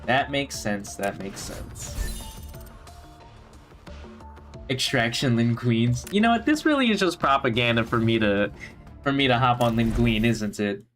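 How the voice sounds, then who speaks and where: A man chatting casually while streaming a game, rambling with restarts, his voice slightly hoarse. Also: mid-range voice, sing-song, measured pace, pauses often, fairly bright tone, soft background music. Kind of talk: casual gameplay stream chat. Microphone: gaming headset.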